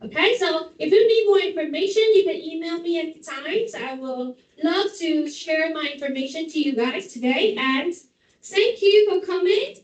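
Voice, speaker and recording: A woman's voice: very high-pitched, normal speed. A middle-aged woman from Taiwan with a Taiwanese accent, speaking simple, non-native English as a guest speaker in a classroom. She talks in a chatty, joking way. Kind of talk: casual classroom talk. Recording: echoey room, distant mic, dull sound.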